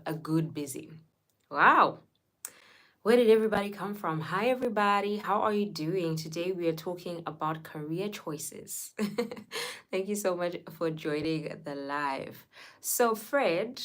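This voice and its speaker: A woman's voice: low voice, a bit bright. A young woman from Zimbabwe hosting a casual livestream, chatting with viewers, greeting them by name and laughing often. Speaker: livestream host.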